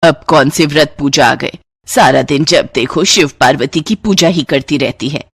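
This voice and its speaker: A woman playing a stingy, bitter middle-aged mother-in-law with a husky voice and a carping, sarcastic manner in a moral story. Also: sits low, moderate pace, dry recording. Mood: scolding.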